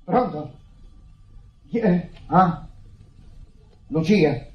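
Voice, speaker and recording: An actor with a Neapolitan accent playing a bitter, suspicious middle-aged brother in a radio drama, sarcastic and stammering when flustered. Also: male voice, high voice, expressive, drawn-out words, husky, full character voice. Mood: guarded, bitter. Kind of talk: stage-style Italian radio drama acting. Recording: muffled old radio drama recording.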